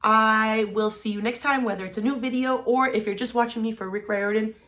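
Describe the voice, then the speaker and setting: A woman's voice: fairly high pitch, steady tone, brisk, fairly bright tone. A woman hosting a book vlog, talking to viewers in an enthusiastic, informal and slangy way. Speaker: book vlogger, channel host. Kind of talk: chatty scripted-and-casual book vlog to camera.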